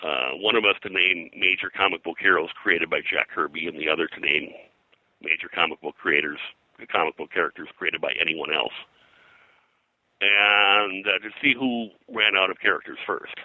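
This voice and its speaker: An older man with a deep voice and a strikingly sharp, forceful edge. He talks as a historian being interviewed, wandering through anecdotes with hesitant phrasing. The recording is close and dry. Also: dry recording, rough recording. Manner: halting, discursive, hesitant phrasing. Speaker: interview guest, pop culture historian. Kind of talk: casual interview, anecdotal storytelling.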